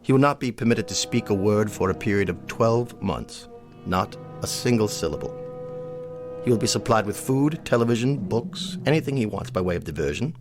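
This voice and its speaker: A man acts out a wealthy old colonel with a low voice, full of haughty disdain. The delivery is formal and exact, as in a radio drama. Music plays softly behind the words. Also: expressive, normal speed, close to an everyday voice. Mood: formal, challenging.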